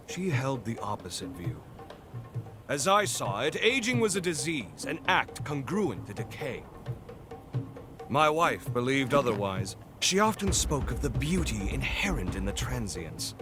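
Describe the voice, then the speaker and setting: A man playing a grandiose, eccentric, tea-loving gentleman in a video game, with a florid, pompous and theatrical way of talking. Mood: reflective. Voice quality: high-pitched, swooping delivery. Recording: music behind the voice. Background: clear background music.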